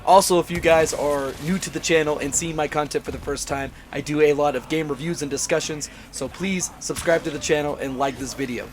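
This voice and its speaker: A man hosting a gaming channel, talking through a casual game review with a hoarse, worn-out voice after being sick. He sounds enthusiastic, rambles a bit and often corrects himself. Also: on the high side, fairly even intonation, a little fast, few pauses, a little hiss or hum. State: hoarse, voice mostly gone after illness.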